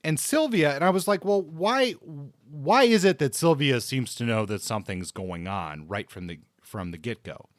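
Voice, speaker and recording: A man's voice: mid-range voice, lively intonation, moderate pace, few pauses, no echo, studio quality. A man co-hosting a book discussion podcast, talking casually and thinking aloud as he goes. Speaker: podcast co-host discussing books.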